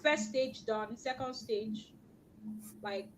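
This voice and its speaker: A woman from Nigeria talks in a slightly high voice, animated and storytelling, and acts out what others said. She is a guest in a casual chat over an online call, with faint hum behind her. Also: slow pace, poor audio. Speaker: guest, LinkedIn specialist.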